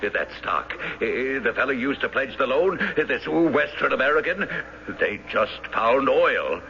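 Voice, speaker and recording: An actor playing an excitable, domineering banker and elder brother in an exasperated, theatrical old radio drama style. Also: male voice, medium pitch, measured pace, vocal fry, heavily acted. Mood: astonished. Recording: dull, noisy old radio broadcast.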